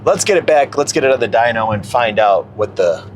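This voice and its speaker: A man's voice: mid-range voice, animated, brisk, heavy background noise. A man hosting a car tuning video, explaining in a casual, matter-of-fact, conversational way. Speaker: car tuner and video host.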